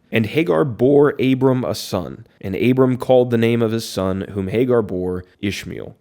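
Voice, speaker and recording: Hoarse, low-voiced man teaching scripture. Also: a little mellow. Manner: plain, conversational teaching tone. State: hoarse from illness. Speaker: Bible study podcast host. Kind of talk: reading scripture aloud and explaining it.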